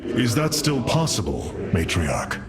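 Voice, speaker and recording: Noble, expressive alien commander. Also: male voice, lowish voice, a little slow, heavy background noise. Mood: questioning. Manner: grave, formal, commanding. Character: noble, resolute male alien military leader. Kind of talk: video game cinematic acting.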